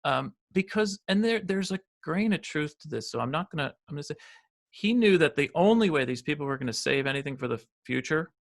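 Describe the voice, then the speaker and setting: A man's voice: a little high, animated, a little fast, creaky. A middle-aged American man talking earnestly as a podcast guest, often restarting his sentences. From United States. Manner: earnest, self-correcting with many restarts. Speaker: podcast guest, financial planner. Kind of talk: conversational interview.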